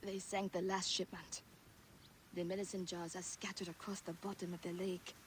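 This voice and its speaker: A woman playing a kindly, steadfast ancient Egyptian village healer in a video game cutscene, earnest and deliberate in delivery. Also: on the low side, little pitch movement, a little hiss or hum, lightly acted. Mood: earnest, troubled.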